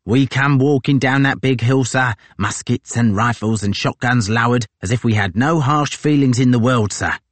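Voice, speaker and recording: A man voicing a big, brash Marine sergeant who talks in a loud, boastful, rough working-class way. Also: mid-range voice, moderate pace, hardly any pauses, no room sound, voice pushed up, close to an everyday voice. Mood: gloating.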